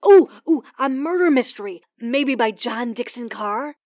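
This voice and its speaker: A woman playing an eager, excitable caller in a radio skit, heard through a dull, band-limited line. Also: fairly high pitch, measured pace. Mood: eager, curious. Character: eager, confused female telephone caller seeking a mystery story. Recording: dull, band-limited telephone-style sound.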